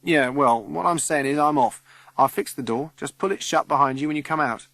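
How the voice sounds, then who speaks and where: A man voicing a brisk no-nonsense caretaker woman. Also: fairly high pitch, a bit bright, dry recording, voice pushed up. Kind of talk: audiobook character reading.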